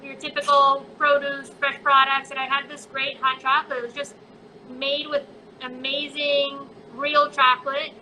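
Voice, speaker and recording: A woman's voice: high-pitched, little pitch movement, moderate pace, sharp tone, a little hiss or hum, low-quality recording. A woman who grew up in Colombia, a chocolate maker chatting in an online interview, talking casually with many fillers. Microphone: phone on a live video chat.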